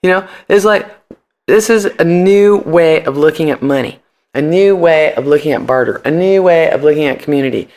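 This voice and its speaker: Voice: medium pitch, a little fast, darkish tone. A man being interviewed on a podcast, chatting casually and animatedly in loose slang with free swearing. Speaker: podcast guest.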